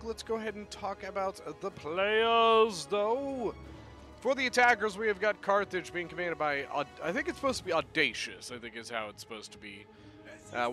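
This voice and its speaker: A man casting a strategy game battle play-by-play in a chatty, excitable way, his voice a little off as he gets over being sick. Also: sits high. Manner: chatty, excitable, bursts of loud exclamation. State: voice a little off from recent illness. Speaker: game commentator.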